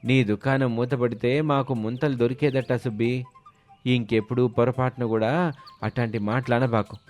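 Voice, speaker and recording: Male narrator acting a scheming village moocher for a cartoon folk tale, wheedling and smooth, faint music bed. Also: mid-range voice, fairly even intonation, moderate pace, darkish tone, close to an everyday voice. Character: sly toddy-loving village man, smooth-talking moocher. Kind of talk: animated moral story dialogue.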